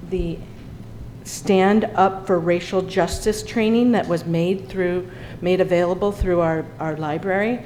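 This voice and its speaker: A woman's voice: mid-range voice, a little mellow, a little hiss or hum, rough recording. A woman running for city council, delivering an earnest prepared statement at a forum.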